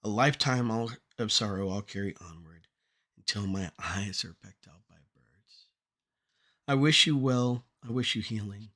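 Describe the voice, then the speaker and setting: A man reading his own poetry aloud in an earnest, deliberate way, pausing between short phrases. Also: lowish voice, sharp tone. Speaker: poet reading own work. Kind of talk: poetry recitation at a draft table read.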